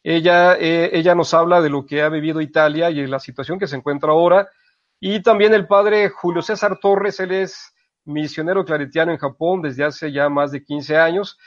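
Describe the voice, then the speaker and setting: Priest hosting in a dry studio. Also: male voice, on the high side, fairly even intonation, moderate pace, no room sound. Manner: thoughtful, with frequent hesitations. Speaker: priest co-host. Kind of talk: radio program hosting. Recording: dull dry radio studio audio.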